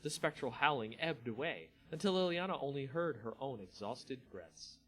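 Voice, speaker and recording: A man reading a fantasy story aloud as a theatrical, deliberate dramatic narrator. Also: mid-range voice, expressive, husky, faint music behind.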